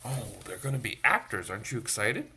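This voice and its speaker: Man, children's story reader, expressive, lively swooping intonation, bright tone, chatty asides to kids. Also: mid-range voice, a little fast, breathy edge. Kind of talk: reading a picture book aloud to children. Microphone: phone.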